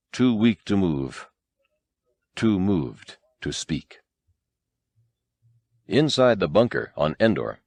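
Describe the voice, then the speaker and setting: A man's voice: low-pitched, no echo. A man narrating an audiobook in a dramatic, scripted storytelling style.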